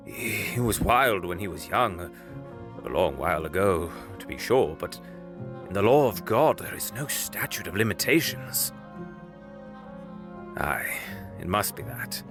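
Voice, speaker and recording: An actor playing a grave, troubled middle-aged gentleman lawyer in an audio drama, speaking formally and haltingly as he broods. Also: low voice, expressive, music in the background. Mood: troubled brooding. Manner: brooding, halting, formal old-fashioned speech.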